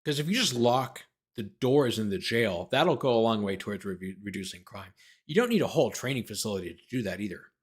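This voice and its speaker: A man's voice: lowish voice, lively intonation, brisk, breathy edge. A man hosting a legal commentary show, reading a document aloud and breaking off for sardonic off-the-cuff asides. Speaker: host, lawyer commentator.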